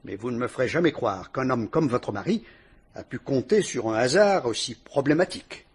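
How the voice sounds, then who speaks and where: An actor playing a shrewd police inspector who questions a suspect with dry irony, in a vintage radio drama. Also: male voice, medium pitch, animated, normal speed, breathy edge. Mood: probing, ironic. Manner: sly, probing, mocking interrogation.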